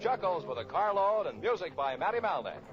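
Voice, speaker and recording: A man's voice: high-pitched, sing-song, fairly quick. A man playing an exuberant announcer on a 1940s radio comedy show. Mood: rousing. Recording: muffled 1940s radio recording with music.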